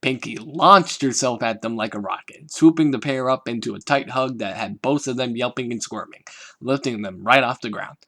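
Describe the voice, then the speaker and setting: A man narrating a fanfiction story in a steady, soft reading voice. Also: medium pitch, normal speed. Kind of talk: audiobook-style story narration.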